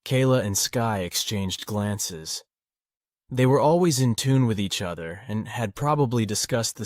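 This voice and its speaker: Low-pitched male audiobook narrator. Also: a little mellow, dry recording, clean studio sound. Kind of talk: scripted narration.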